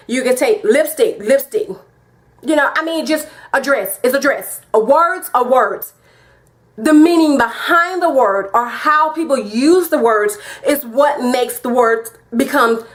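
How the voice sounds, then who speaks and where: Southern US woman vlogger, slightly high voice, emphatic, repeats her points, direct address to camera. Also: fairly bright tone. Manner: emphatic and repetitive, talks straight to viewers. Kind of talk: casual opinion vlog.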